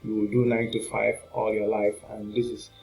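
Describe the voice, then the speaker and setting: Man pitching products, salesy and hesitant. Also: completely flat delivery, moderate pace, vocal fry, a little mellow, faint music behind, low-quality recording. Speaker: online marketing product reviewer and channel host. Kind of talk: unscripted promotional review to viewers.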